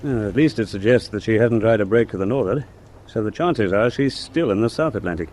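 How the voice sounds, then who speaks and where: Guy playing a confident british navy officer, lowish voice, practical and brisk, noisy war radio play. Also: expressive, a little mellow, close to an everyday voice. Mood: analytical. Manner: brisk, reasoning, colloquial British. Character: confident British naval man, practical, level-headed leader. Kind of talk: radio war drama acting.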